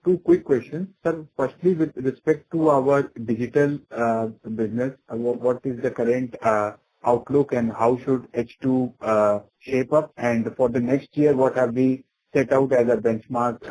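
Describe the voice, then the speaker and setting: Male analyst caller, dark husky voice, deferential, often stumbling through questions. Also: medium pitch, fairly even intonation, poor audio. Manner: deferential, very halting. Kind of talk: question on earnings call.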